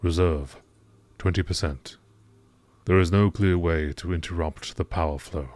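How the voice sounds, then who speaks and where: A man reading scripted entries as a narrator in a measured, deliberate nature documentary style. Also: low-pitched, fairly even intonation, darkish tone, slight background noise.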